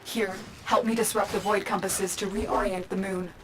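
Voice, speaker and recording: An actress voicing a composed, protective mission guide who gives firm instructions. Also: female voice, mid-range voice, fairly even intonation, moderate pace, heavy background noise, natural voice. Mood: urgent. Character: calm, commanding female mission guide, protective.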